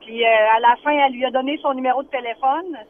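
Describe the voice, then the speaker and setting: A woman's voice: sits high, fairly even intonation, fast talker. A woman with a Quebec French accent calling a radio show by phone to tell a personal story casually. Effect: phone line. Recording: noisy band-limited phone call.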